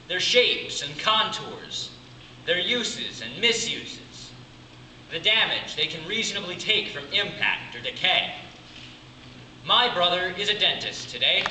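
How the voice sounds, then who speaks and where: Man acting a slow, dramatic, weary big brother. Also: high voice. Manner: deliberate, dramatic pauses between short phrases. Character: weary, devoted big brother caring for disturbed sibling. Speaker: amateur drama club actor. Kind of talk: theatrical stage monologue. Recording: distant mic, echoey room, clearly noisy.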